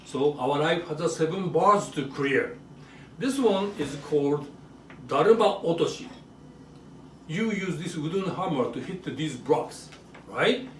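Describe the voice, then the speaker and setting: A man with a Japanese accent giving an educational demonstration in short, simple phrases, often checking in with a quick question. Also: fairly high pitch, animated. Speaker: author and presenter. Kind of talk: educational show-and-tell for children and families. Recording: roomy echo, distant mic, faint noise.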